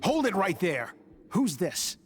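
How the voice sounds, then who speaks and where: Stern, fairly high-voiced guard. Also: male voice, big pitch swings, slow, full character voice. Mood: stern, challenging. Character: stern, suspicious guard on watch for a secret group. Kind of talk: video game voice acting.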